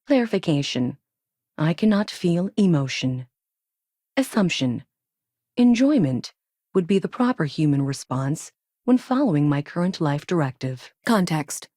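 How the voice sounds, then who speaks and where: A woman's voice: low-pitched, mellow, dry recording, clean studio sound. A woman playing a self-aware space probe AI in a video game, speaking in a clipped, literal, machine-like way with childlike earnestness. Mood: matter-of-fact. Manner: clipped, literal, announces each statement with a label word. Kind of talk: scripted video game dialogue.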